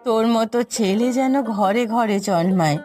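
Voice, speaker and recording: A woman's voice: mid-range voice, moderate pace, darkish tone, faint music behind, lightly acted. A woman voicing a doting, proud elderly village grandmother in a moral cartoon story. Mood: tender, proud. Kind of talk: Bengali moral cartoon dubbing.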